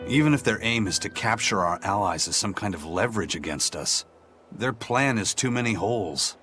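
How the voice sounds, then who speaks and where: An actor voicing a stern, seasoned pirate captain in game dialogue, speaking in a measured, grave, analytical way. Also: male voice, on the low side, animated, music in the background, close to an everyday voice. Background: game score.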